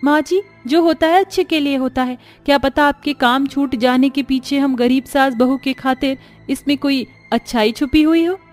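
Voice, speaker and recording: A woman's voice: high-pitched, normal speed, soft background music, pitched above the performer's own voice, natural voice. A woman voicing a caring, sensible young village daughter-in-law in a family story. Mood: reassuring.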